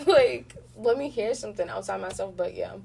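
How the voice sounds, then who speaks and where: A woman's voice: mid-range voice, fairly quick, vocal fry, recorded from a distance, over a noisy crowd. Casual, candid woman, slightly off-mic. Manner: candid, animated storytelling with slang and swearing. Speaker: interview guest, voice actor in a radio play. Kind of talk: casual chat.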